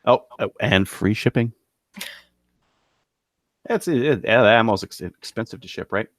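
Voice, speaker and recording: A man co-hosting a podcast, casually running through products in a halting, thinking-aloud way. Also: fairly low pitch, no room sound. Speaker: podcast co-host presenting gun deals.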